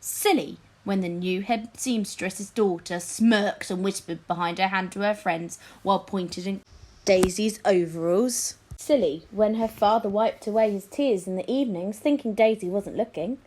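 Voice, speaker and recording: A woman reading a children's story aloud as a teacher would, in an expressive narrating style. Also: medium pitch, moderate pace, low-quality recording.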